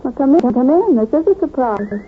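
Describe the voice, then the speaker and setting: A brassy, sharp-tongued society dame in a vintage radio serial. Her voice sits high, and the recording is tinny as a phone line with faint hiss. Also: expressive, normal speed. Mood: sociable. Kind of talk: 1940s radio drama acting.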